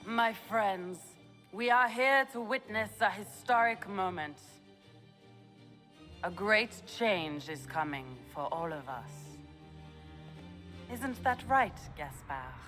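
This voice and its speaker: Smug scheming noble traitor, mocking and theatrical, game cutscene. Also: male voice, high voice, expressive, takes it slowly, long pauses, sharp tone, faint music behind, full character voice. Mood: gloating. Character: scheming treacherous noble sibling, villain. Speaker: video game character.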